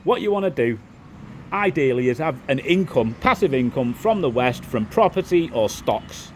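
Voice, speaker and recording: A middle-aged man with a softened Liverpool accent, chatting loosely to camera as a vlogger, with lots of restarts. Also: mid-range voice, lively intonation, normal speed, a little hiss or hum. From England. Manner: rambling, frequent restarts and "it is what it is". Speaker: vlogger, property developer. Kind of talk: casual monologue to camera.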